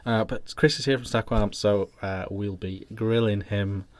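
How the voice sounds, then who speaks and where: Brief: British male host, lowish voice, chatty and relaxed. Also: a little slow. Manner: chatty, rambling, laughs along. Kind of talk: casual live show hosting.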